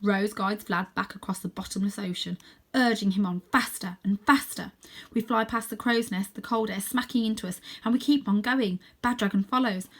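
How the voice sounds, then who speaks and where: A woman narrating a children's story in a dramatic, expressive, suspenseful way with clear emphasis. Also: mid-range voice, moderate pace. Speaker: storybook reader, teacher.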